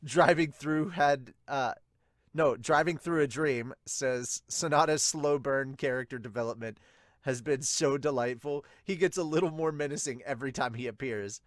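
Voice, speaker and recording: A man hosting a podcast, reading aloud and reacting casually with excitable exclamations. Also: medium pitch, moderate pace, no room sound, clean studio sound. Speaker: podcast host and reviewer. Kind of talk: manga chapter dub reading and casual reaction commentary.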